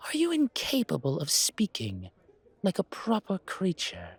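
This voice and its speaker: A pompous vampire gentleman, acted by a man, speaks slowly in formal, fussy phrases. His husky voice carries disdain and a protective streak, as in an audio roleplay drama. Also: sits high, huge pitch swings, no room sound, pitched above the performer's own voice, full character voice. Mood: disdainful.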